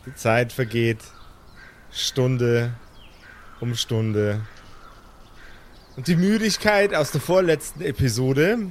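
A man's voice: mid-range voice, slow, long pauses, a little hiss or hum. A man narrating an improvised audio drama as game master in a dramatic storytelling style.

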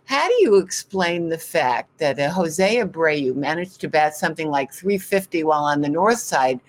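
A woman's voice: low-pitched, animated, normal speed. A woman reporter hosting a conversational sports interview, direct and opinionated in how she talks.

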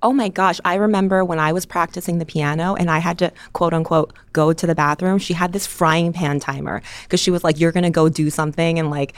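A woman with a low speaking voice chats casually as a podcast co-host. She is American and animated, filling her talk with "like" and "you know". The sound is close and dry, with no room in it. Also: a little fast, few pauses, no room sound. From United States. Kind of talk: casual chat.

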